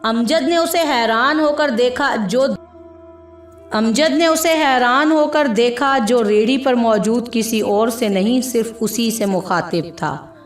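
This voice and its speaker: A woman narrating a scripted story in a measured, expressive storytelling manner. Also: a little high. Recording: echoey room with background music. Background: music.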